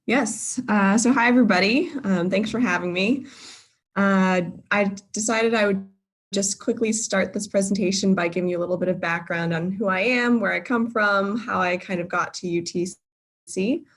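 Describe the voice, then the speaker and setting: A woman's voice: mid-range voice, moderate pace. A young woman in her twenties, a guest speaker giving a casual online talk about her career, with many ums and restarts. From Boston, Massachusetts. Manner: friendly, many filler ums and uhs, self-correcting. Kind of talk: casual presentation to a live virtual audience. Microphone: video call.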